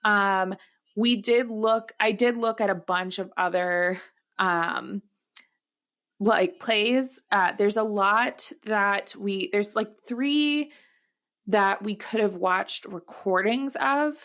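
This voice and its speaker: Woman co-host, halting and conversational. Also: mid-range voice, a bit bright. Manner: halting, searching for words. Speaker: podcast co-host. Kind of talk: casual book-adaptation discussion.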